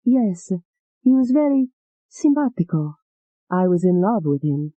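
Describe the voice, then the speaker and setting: A woman voicing a gentle, romantic young woman alter ego with a soft, dreamy, wistful manner. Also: fairly low pitch, sing-song, measured pace, no room sound, dull audio.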